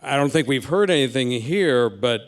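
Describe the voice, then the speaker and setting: A man in an audience asking a spontaneous question at a public forum, hesitant and halting. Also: mid-range voice, lively intonation.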